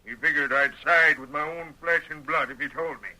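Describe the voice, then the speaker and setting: An actor playing a crusty, bossy old rancher with an American Western accent, telling things in a folksy, cantankerous way in old-time radio style. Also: male voice, a little high, pushed and bright. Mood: grim recollection. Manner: folksy, cantankerous, deliberate storytelling. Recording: thin, tinny old radio recording.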